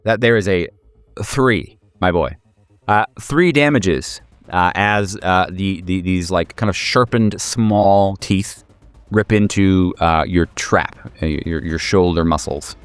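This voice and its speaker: Smooth-voiced man running a tabletop game. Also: low-pitched, no echo, soft background music. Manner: hesitant, halting, searching for words; wry, playful, slangy. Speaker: game master of a horror tabletop podcast. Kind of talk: casual improvised tabletop game narration.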